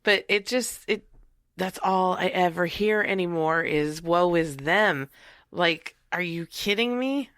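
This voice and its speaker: A woman co-hosting a casual podcast, sounding nasal from blocked sinuses, sarcastic and quick to agree. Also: on the low side, fairly bright tone, no echo, clean studio sound. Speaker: podcast co-host. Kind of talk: casual chat.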